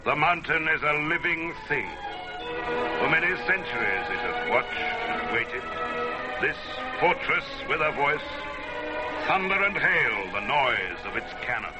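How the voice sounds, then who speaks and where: An actor playing an ancient, watchful mountain spirit in portentous, poetic radio-drama narration. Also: male voice, fairly high pitch, big pitch swings, unhurried, poor audio. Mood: solemn, brooding. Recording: vintage radio drama with music behind.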